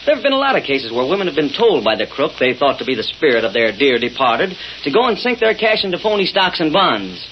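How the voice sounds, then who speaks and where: An actor playing an eager, slangy newspaper reporter, punchy and exasperated, in vintage radio-drama style. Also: male voice, a little high, very animated, quick pace, hardly any pauses. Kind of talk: 1930s-40s radio acting. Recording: muffled, noisy old radio recording.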